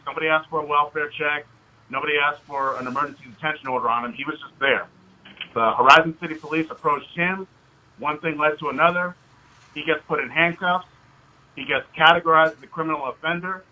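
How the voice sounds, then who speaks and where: A man's voice: fairly high pitch, sharp tone. An American man speaking as a caller on a phone, in a hesitant, wordy but insistent way. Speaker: caller, citizen activist. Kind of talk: recorded phone conversation. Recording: dull lo-fi phone call recording.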